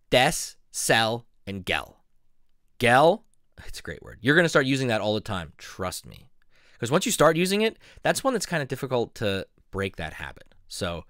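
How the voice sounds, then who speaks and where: A man's voice: medium pitch, no echo. Playful guy teaching words on his channel, voice jumps all over, dry close mic. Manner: animated, playful, laughs often. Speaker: YouTube language teacher. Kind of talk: casual vlog-style lesson.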